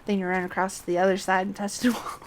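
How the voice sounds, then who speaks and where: A woman's voice: medium pitch, flat delivery, fast talker, slight background noise. A woman hosting a casual game livestream, chatting playfully and making light fun of herself. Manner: chatty, giggly, playful, self-deprecating. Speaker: game streamer and host. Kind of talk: casual livestream gameplay chat.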